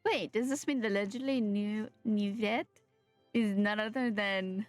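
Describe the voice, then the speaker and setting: A woman's voice: mid-range voice, measured pace, no room sound, soft background music. A woman streamer giving casual, cooing and gushing live reactions as she plays a game.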